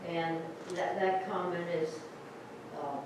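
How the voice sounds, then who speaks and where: A woman council member speaking curtly and softly. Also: on the low side, fairly even intonation, takes it slowly. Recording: very echoey, distant, noisy room.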